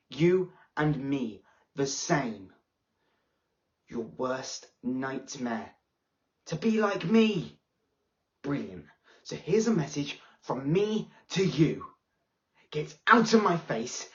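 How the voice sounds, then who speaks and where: An actress playing an angry excluded pupil who bitterly accuses a teacher, delivering a slow dramatic monologue. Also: female voice, a bass voice, dull audio, exaggerated voice. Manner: bitter, accusing, rising to yelling.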